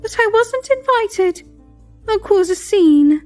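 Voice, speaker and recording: A woman playing a petulant, wounded young royal mistress in a plaintive, high-strung, melodramatic audio drama style. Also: expressive, takes it slowly, soft background music, exaggerated voice. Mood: anxious.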